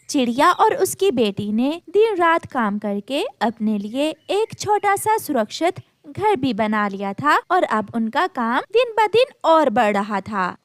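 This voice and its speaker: Woman, children's story narrator, high pitch, animated intonation, scripted delivery. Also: no room sound.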